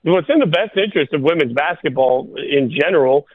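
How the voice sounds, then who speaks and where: Guy sports reporter arguing on the radio, talks really fast, tinny phone line, lots of rhetorical questions. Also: fairly high pitch, expressive, no room sound. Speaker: sports journalist guest. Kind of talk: sports debate by call-in.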